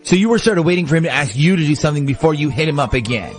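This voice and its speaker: A man hosting a morning radio show, chatting and asking informal questions in lively phone-in banter. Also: on the high side, very fast, soft background music. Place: radio studio.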